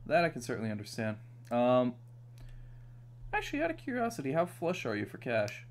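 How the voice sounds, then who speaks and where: A man's voice: mid-range voice, huge pitch swings, a little fast. A man from New York streaming a strategy game, chatting casually with plenty of fillers. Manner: laid-back, chatty, many fillers. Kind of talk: casual gaming chat.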